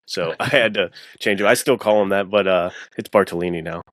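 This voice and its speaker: A man speaking as a guest author in a casual podcast chat, informal and halting, often correcting himself as he goes. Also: deep voice, a bit bright, dry recording, studio quality. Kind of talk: casual podcast discussion of fiction writing.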